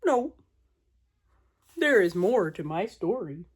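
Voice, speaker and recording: A woman voicing an earnest male elephant in a storybook, telling his woes in a deliberate, drawn-out, dramatic way. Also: mid-range voice, big pitch swings, slightly rough, full character voice. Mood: grave, dramatic.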